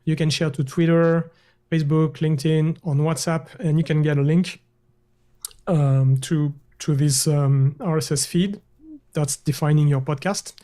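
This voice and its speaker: A man, a guest developer, walking through a screen-share software demo over a video call, hesitant with many fillers and restarts. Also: a little high, fairly even intonation, a little slow.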